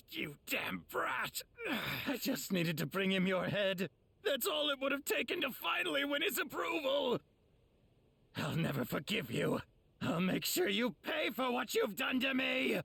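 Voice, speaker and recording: An actor playing a vain, spiteful male demon villain in an anime game, sneering and theatrical with a raspy voice. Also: very high-pitched, big pitch swings, takes it slowly, pushed and bright, no echo, full character voice. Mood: enraged, wailing. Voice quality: raspy, gravelly. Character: not human, a demon.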